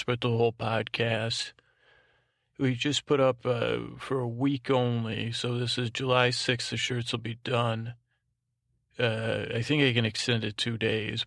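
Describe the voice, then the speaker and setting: A man's voice: mid-range voice, vocal fry, fairly bright tone, dry recording, clean studio sound. A man hosting a bedtime podcast, talking in a droning, meandering monologue with hesitant false starts. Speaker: podcast host.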